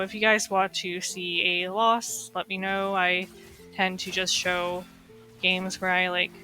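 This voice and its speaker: A woman's voice: mid-range voice, bright, forceful tone. A woman with a slightly nasal, stuffy voice from being sick, chatting casually over her gameplay with lots of fillers. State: recovering from illness, slightly stuffy. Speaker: gaming streamer.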